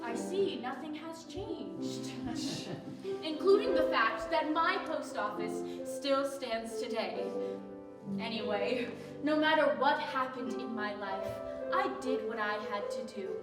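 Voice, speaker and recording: A woman's voice: fairly high pitch, animated, takes it slowly. An actress performing a proud, determined frontier widow and postmistress, telling her story with resolve. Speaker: stage actor in a historical monologue. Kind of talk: theatrical monologue. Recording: roomy hall from far off, loud music behind. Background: loud music.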